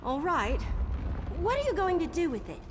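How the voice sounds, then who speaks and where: An actress voicing a spirited, plucky young woman archer in a fantasy video game, expressive and a little cheeky. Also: extremely high pitch, normal speed, noisy background, exaggerated voice. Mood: doubtful, brisk. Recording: reverberant, faint background ambience.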